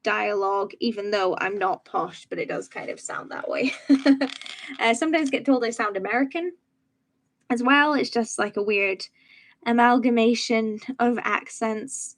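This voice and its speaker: A young Scottish woman with a soft Edinburgh accent that comes across a little posh. She talks in a loose, rambling way, full of "um"s and "like"s, as she chats through a livestream. Her voice sits a bit high. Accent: soft Edinburgh Scottish, somewhat posh-sounding. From Edinburgh, Scotland. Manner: chatty, rambling, laughs easily, many "um"s and "like"s. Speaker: YouTube livestream host. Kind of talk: casual chat while crafting.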